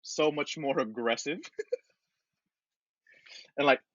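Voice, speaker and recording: A man's voice: fairly high pitch, slow pace, a bit bright, dry recording. A man hosting a podcast in casual chat, laughing often as he tells stories. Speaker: podcast host. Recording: dull, dry recording.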